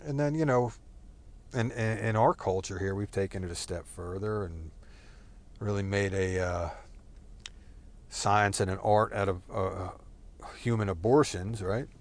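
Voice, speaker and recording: A man's voice: lowish voice, measured pace, long pauses. A man from Texas talking in a rambling, profane monologue full of fillers and digressions. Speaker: solo talker.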